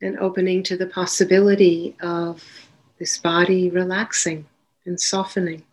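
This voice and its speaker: A woman leading a guided meditation as a teacher, giving gentle, unhurried instructions with long pauses between phrases. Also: fairly low pitch, flat delivery, poor audio.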